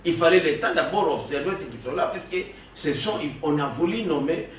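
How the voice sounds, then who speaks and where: A man's voice: fairly high pitch, normal speed. Emphatic man in an echoey room. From Democratic Republic of the Congo. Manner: emphatic, animated, with frequent restarts and stammers. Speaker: political guest. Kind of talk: political interview. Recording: echoey room, distant mic, faint noise.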